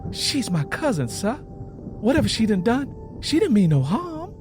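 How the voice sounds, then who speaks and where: A man's voice: sits high, huge pitch swings, normal speed, over music, voice pushed up. A man voicing a brave, protective wife and mother with a Southern US accent, pleading emphatically in a quaking voice in an audio story. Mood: anxious pleading.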